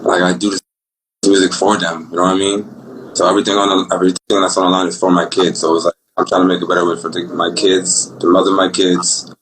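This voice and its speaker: A young man with a Brooklyn accent, an R&B singer talking about his life in a relaxed, rambling, slangy way. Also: low voice, fast talker, noisy background. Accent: Brooklyn New York. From Brooklyn, New York. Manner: laid-back, rambling, slangy. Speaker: singer guest. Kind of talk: casual interview talk.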